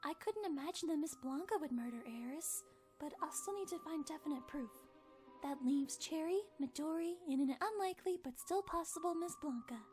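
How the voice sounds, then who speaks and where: Very high-voiced teasing detective, a woman acting. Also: lively intonation, fairly quick, darkish tone, faint music behind. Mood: thoughtful, deducing. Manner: teasing, inquisitive. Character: sharp, teasing young detective, playful and composed. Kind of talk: animated murder-mystery parody acting.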